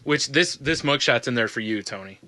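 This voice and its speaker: A man's voice: mid-range voice, animated, a little fast, pushed and bright, dry recording. A man hosting a podcast, teasing his co-hosts dryly and moving the show along. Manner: dry teasing, steers the show. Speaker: podcast host. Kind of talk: casual comedic chat.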